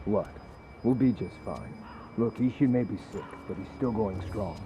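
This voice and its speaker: Game bit part: actor plays self-assured loyal soldier, lo-fi recording with clear noise behind. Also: male voice, fairly low pitch, normal speed, lightly acted. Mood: confident, reassuring. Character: confident, loyal male castle soldier. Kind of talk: video game cutscene acting. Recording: dull lo-fi sound, background noise.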